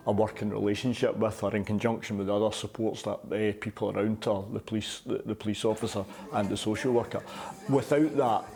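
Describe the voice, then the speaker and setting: A man working as an intermediary, talking about his work in an interview and pausing to find words. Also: fairly low pitch. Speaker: witness intermediary.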